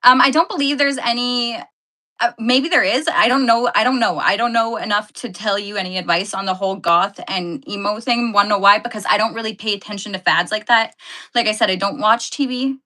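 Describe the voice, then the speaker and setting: A woman's voice: mid-range voice, brisk, pushed and bright. A woman hosting a casual live stream, chatting with viewers and answering their comments, laughing often and correcting herself as she goes. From Canada.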